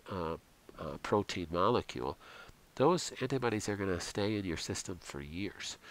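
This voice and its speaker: Deep-voiced man giving a health lecture. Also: a bit bright. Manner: earnest and instructive, frequent fillers. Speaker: chiropractor presenting a health program. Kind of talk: slideshow health lecture voice-over.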